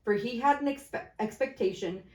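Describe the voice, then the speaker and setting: A woman's voice: a little high, normal speed, a little mellow. A woman reading classic fiction aloud as an amateur storyteller, stumbling now and then over her words. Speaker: storybook reader. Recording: echoey, roomy sound.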